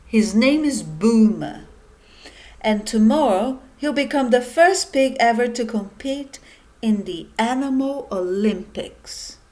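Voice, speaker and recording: A woman's voice: a little high, animated, slow pace, darkish tone. A woman voicing a brash male TV news reporter in a children's storybook, with an emphatic announcer manner. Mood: excited, announcing. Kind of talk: live news report in a read-aloud storybook.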